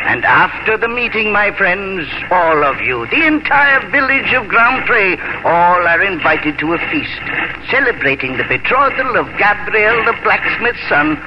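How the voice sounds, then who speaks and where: An actor playing a warm, dignified elderly father and village leader in a 1950s radio drama. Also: high voice, very animated, measured pace, noisy background. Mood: earnest. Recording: dull old radio recording, music behind.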